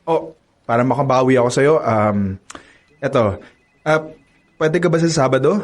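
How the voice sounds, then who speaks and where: A man's voice: medium pitch, animated, normal speed, natural voice. A smug young campus heartthrob in a radio drama, teasing and sure of his charm, played by a man. His voice sits in a middle register and his talk is playful and cocky. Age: young adult. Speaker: narrator and lead character.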